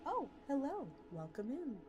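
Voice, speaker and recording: A woman's voice: high voice, wildly swooping pitch, measured pace. A woman livestreamer reading a story aloud with acted dialogue and chatting casually with her viewers. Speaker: VTuber streamer. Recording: music playing behind the voice. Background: music.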